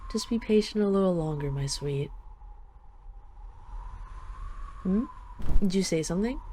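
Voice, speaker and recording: A woman voices a dragon queen in an intimate audio drama, with a low, mellow, soft voice. She is affectionate and wistful, teasing her beloved gently, with a faint wash of ambient noise behind her. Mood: playful, dreamy. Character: dragon queen, tender, teasing, possessive lover.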